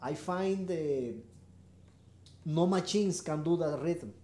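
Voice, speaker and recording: A man from Venezuela with a Venezuelan Spanish accent, a harp player answering interview questions in halting English, often repeating short yeses. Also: fairly high pitch, warm tone, reverberant, a little hiss or hum.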